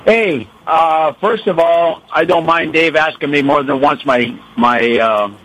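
A man from Rochester, New York, calling in to a radio show; he has a gravelly voice and talks haltingly with many restarts. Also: fairly high pitch, a bit bright, rough recording. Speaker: caller. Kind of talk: radio call-in.

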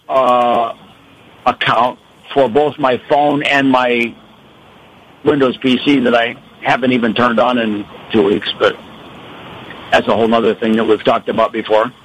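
Gravelly-voiced man calling in. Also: mid-range voice, fairly bright tone, low-quality recording. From Rochester, New York. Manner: halting, many restarts. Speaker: caller. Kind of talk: radio call-in.

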